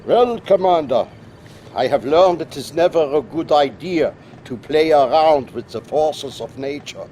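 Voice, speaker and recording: A grave scientist in a game cutscene, slightly high for a man and unhurried. He warns with earnest, ominous care over faint background noise. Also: lively intonation, warm tone. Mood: grave warning. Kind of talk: dramatic video game cutscene acting.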